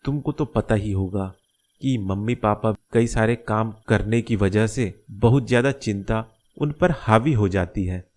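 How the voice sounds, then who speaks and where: A man voicing a caring middle-aged father in a moral-story cartoon, gentle and explanatory as he reassures. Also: on the low side.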